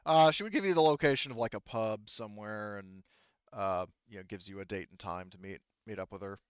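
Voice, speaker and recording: A man's voice: lowish voice, smooth, dry recording, highs cut off. A man game-mastering a tabletop roleplaying game in a casual, rambling way, often correcting himself midway through a thought. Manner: halting, rambling, self-correcting. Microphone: online voice call.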